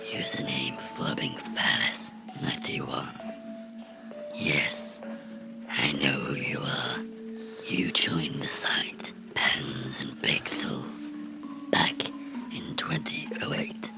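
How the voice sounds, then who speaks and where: A man playing a vengeful cartoon gnome come to life, menacing and mocking in a breathy, gravelly whisper for a horror ASMR role-play. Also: extremely low pitch, exaggerated voice. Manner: slow, deliberate, sinisterly playful, taunting.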